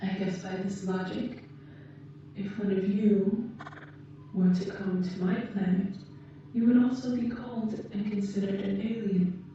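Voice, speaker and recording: A woman voicing a gentle, caring alien rescuer in an ASMR roleplay, speaking formally and precisely with deliberate pauses. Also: mid-range voice, fairly even intonation, natural voice. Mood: calm, explaining. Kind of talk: ASMR roleplay, slow soothing delivery. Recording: muffled, echoey, distant, faint noise.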